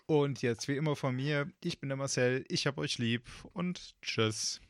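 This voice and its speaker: A man's voice: mid-range voice, unhurried, no echo. A man hosting a casual podcast, rambling and joking with lots of fillers. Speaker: podcast host. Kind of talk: casual chat.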